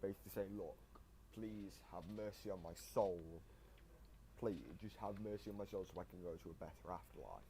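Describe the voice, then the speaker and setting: A man's voice: sits low, normal speed, dark tone, slight background noise. A man giving casual explanatory commentary, piecing his thoughts together as he explains. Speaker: co-host explaining game lore.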